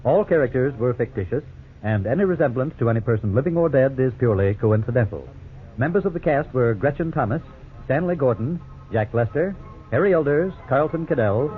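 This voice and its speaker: A man delivers scripted announcements in a crisp, formal announcer's manner. His voice comes through a thin, tinny old broadcast with music playing clearly behind it. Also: medium pitch, expressive, a little fast. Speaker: radio announcer.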